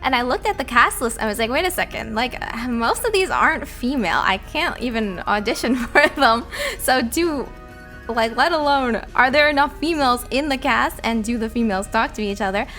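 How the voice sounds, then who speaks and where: Woman, high bright voice, casual podcast chat, giggly and self-deprecating, faint music underneath. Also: lively intonation, brisk. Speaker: podcast voice actor.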